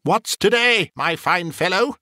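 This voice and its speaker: A man's voice: fairly high pitch, animated, unhurried, a bit bright, no echo, studio quality, voice pushed up. A man voicing a reformed old miser bursting with joy and tearful emotion, exclaiming and whooping in a dramatic storybook reading. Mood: giddy, exuberant. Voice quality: voice breaking with emotion.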